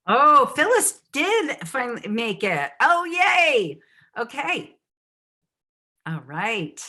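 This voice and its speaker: A woman's voice: sits high, very animated, slow pace, pushed and bright. A woman hosting a live storytelling event over a video call, loose and upbeat, full of fillers. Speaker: host.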